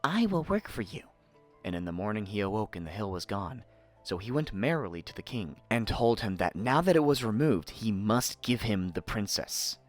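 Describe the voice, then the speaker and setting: Low-voiced, animated male fairy tale storyteller. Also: normal speed, faint music behind. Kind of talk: scripted fairy tale narration.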